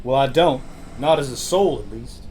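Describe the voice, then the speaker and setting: A man playing a brisk, easily exasperated train traveller in a Western audio drama, curt, bossy and dry. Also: medium pitch, expressive, darkish tone, slight background noise, lightly acted. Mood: curt, watchful. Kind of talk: Old West audio drama acting.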